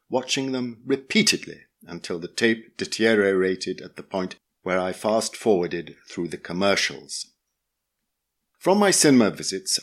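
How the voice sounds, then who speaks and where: A British man reads a scripted essay as a podcast host. His voice sits on the low side and is very husky, with a rough, breathy grain.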